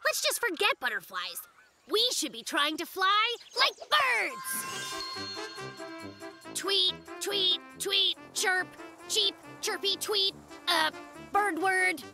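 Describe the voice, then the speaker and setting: A performer voicing a boastful, excitable young boy bear cub in a children's cartoon. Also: very high-pitched, slow pace, exaggerated voice. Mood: eager. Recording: music behind the voice. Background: children's cartoon music.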